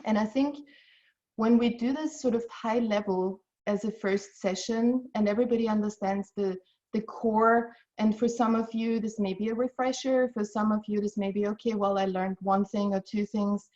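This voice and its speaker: A woman's voice: medium pitch, steady tone, moderate pace, dark tone. Lady in her 40s explaining money stuff, earnest and encouraging, kinda muffled lo-fi call sound. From Austria. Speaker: financial advisor guest. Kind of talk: webinar presentation.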